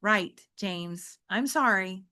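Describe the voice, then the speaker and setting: Woman doing a gentle, flustered storybook mom. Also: medium pitch, slow. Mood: soothing. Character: patient, apologetic middle-aged mother.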